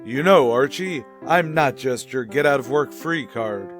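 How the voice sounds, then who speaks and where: A man's voice: medium pitch, animated, unhurried, faint music behind, voice pushed down, natural voice. A man playing a wry, put-upon waiter who reads an announcement in a dry, announcer-like way. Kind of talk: in-character promo announcement.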